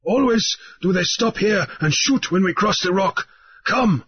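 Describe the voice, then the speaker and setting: A man's voice: high voice, lively intonation, unhurried, breathy edge, muffled recording, pitched above the performer's own voice, full character voice. A man voicing a breathless island guide who urges his friend to flee. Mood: urgent. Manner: breathless, urgent.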